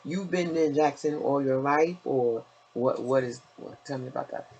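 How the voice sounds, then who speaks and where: A woman's voice: extremely low pitch, moderate pace, darkish tone, breathy edge, low-quality recording. A woman, chatting casually as an interviewer in a blunt, familiar and encouraging way. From Chicago, United States. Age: 50s. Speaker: interviewer and host.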